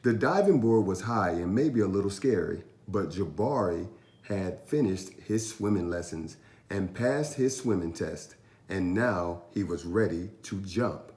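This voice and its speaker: A man reading a children's story aloud with expressive, animated narration and drawn-out emphasis. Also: sits low, reverberant. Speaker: storytime reader.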